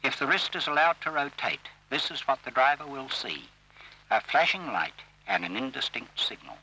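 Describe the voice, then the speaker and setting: A man narrates an old instructional film in a very bright, crisp-edged and forceful voice. His delivery is formal and measured, setting out each instruction as scripted. It has the sound of a vintage training film soundtrack. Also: medium pitch, lively intonation, slight background noise, poor audio. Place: voice-over recorded for film.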